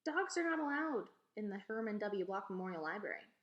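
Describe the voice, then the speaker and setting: A woman's voice: medium pitch, very animated, brisk, dull audio, natural voice. A woman voicing a very small, very old, easily frightened lady librarian in a storybook. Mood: hesitant, proper. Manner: trembling, startled, hesitant. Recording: echoey, roomy sound.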